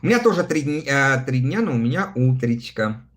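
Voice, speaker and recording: Guy in his 30s doing a live stream, reads chat out loud, laughs a lot, voice jumps up and down all the time. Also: medium pitch, sing-song, fairly quick. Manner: chatty, reads comments aloud and answers them with frequent laughs. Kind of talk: casual livestream chat.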